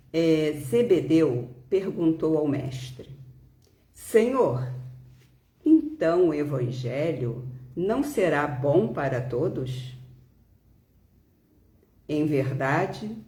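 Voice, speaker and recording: A woman with a Brazilian Portuguese accent giving a devotional talk and reading aloud, in deliberate phrases with pauses. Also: deep voice, mellow, reverberant. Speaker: religious speaker leading a spiritist devotional talk.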